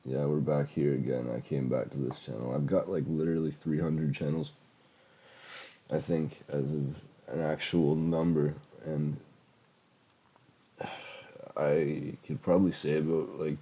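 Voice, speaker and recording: A man's voice: extremely low pitch, little pitch movement, unhurried, mellow, slightly rough. A young man in his late teens with a heavy cold and a stuffed-up voice, rambling casually with lots of fillers and swearing as he reviews his old music. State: congested from a cold. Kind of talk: casual screen-recording commentary.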